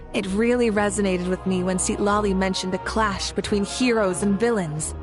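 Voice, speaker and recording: A woman playing a composed, noble warrior leader in a video game, measured and assured. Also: medium pitch, steady tone, close to an everyday voice. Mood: resolute. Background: background music.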